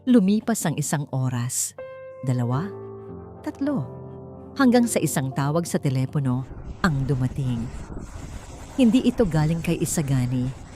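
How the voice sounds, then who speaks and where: A woman's voice: extremely low pitch, dark tone. A woman reading a scripted dramatic story as its narrator.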